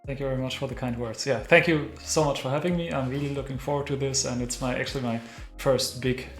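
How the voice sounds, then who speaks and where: A man's voice: mid-range voice, fairly even intonation, quick pace, reverberant, soft background music. A man with a German accent speaking English as a second language, friendly and a little hesitant, repeating words as he talks. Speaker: co-player, content creator.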